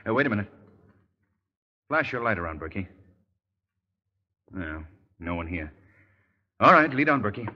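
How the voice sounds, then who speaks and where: Gentleman detective, lowish animated voice, calm authority, old radio drama, reverberant muffled sound. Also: moderate pace, long pauses. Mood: brisk, decisive. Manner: authoritative, patient. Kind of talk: 1930s radio drama acting.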